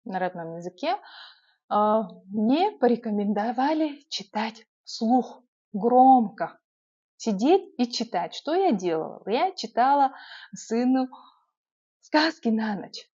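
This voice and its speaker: A woman's voice: on the high side, slow pace, breathy edge. Young woman, urban Yakut accent, chatty advice vlogger; slightly muffled, lo-fi recording. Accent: urban Yakut Russian. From Yakutia, Russia. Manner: chatty, lively, many filler sounds. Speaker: vlogger giving language-learning tips. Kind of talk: casual advice vlog.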